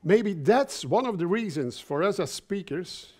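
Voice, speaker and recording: Slow-talking Belgian-raised man giving a talk. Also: high-pitched, very animated, darkish tone. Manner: slow, halting delivery with repeated words and joking asides. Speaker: speaker. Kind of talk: conference talk.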